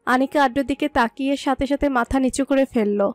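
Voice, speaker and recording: A woman's voice: high voice, fairly quick, no room sound. A woman narrator reading a scripted romantic story. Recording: dry voice over soft music.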